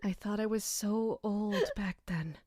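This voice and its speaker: A woman's voice: medium pitch, measured pace, very noisy, close to an everyday voice. A woman playing an anguished, dutiful and bitter royal captain in an audio drama, brooding and emotionally intense. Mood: haunted, brooding.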